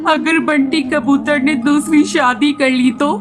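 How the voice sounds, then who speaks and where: A woman's voice: high-pitched, fairly even intonation, measured pace. A woman voicing a sick, anxious mother bird in a children's cartoon, pleading earnestly. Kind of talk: Hindi children's cartoon acting. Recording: background music bed.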